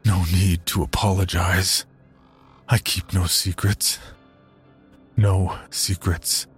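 A zealous exorcist priest, voiced by a man reading the story, barking orders in a forceful, commanding way. Between his shouts he drops into slow, grave statements, and soft music plays behind him. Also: low voice, level tone, husky, full character voice.

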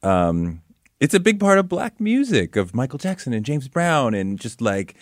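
A man's voice: mid-range voice, very animated, dry recording, clean studio sound. A man hosting a casual interview, who stumbles and restarts as he gropes for words. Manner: halting, stumbles over words. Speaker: podcast host.

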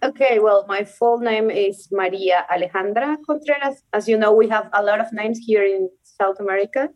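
A woman's voice: mid-range voice, moderate pace, highs cut off. A young adult woman from Venezuela, a Spanish speaker, talking casually in an interview, laughing often and restarting sentences as she chooses her English words. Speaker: guest, rock climber.

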